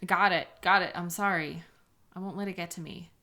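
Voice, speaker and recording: A woman's voice: lowish voice, fairly even intonation, brisk, noisy background, close to an everyday voice. A woman playing an embittered, contemptuous police sketch artist with a sarcastic, scornful manner in a dramatic audio roleplay. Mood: apologetic.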